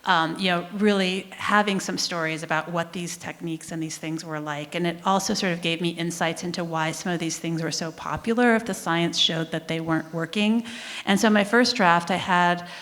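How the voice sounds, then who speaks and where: A woman's voice: lowish voice, fairly even intonation, moderate pace, fairly bright tone. A woman science author answering on a conference panel, chatty and loose, often saying "like". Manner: chatty, fillers like "like". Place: conference hall. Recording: reverberant conference hall.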